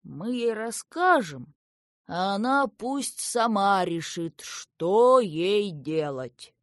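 A woman voicing a solemn old male gnome with a drawling, deliberate delivery. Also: mid-range voice, expressive, dry recording, studio quality.

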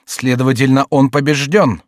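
An imperious, scheming queen mother from a historical storybook voiced by a man. The delivery is dramatic and commanding, pitched up from the reader's usual register and emphatic on each phrase. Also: flat delivery, moderate pace, no echo, studio quality, close to an everyday voice. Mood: urgent.

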